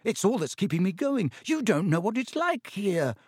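A man acts out a lonely, earnest schoolboy in a storybook. His voice is raised in pitch and lively in range. He sounds urgent and pleading. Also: normal speed, husky, dry recording, studio quality, heavily acted. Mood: upset, pleading.

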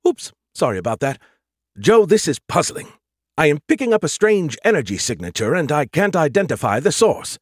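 Man voicing a high-pitched, snarky artificial intelligence. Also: sing-song, normal speed, slightly rough, no echo, studio quality. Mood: puzzled. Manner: flippant, insulting, exclamatory. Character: excitable, snarky, arrogant male artificial intelligence avatar.

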